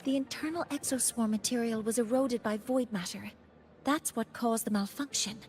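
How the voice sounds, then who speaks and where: Brief: a woman as a careful female professor, slightly high voice, faint music under. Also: a little mellow, close to an everyday voice. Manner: measured, formal.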